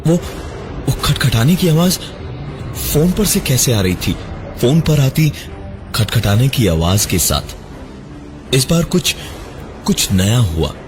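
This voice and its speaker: A man narrating a scripted first-person horror story in a dramatic, halting, suspenseful manner. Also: mid-range voice, big pitch swings, over music. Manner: dramatic, halting delivery with suspenseful breaks and repeated words.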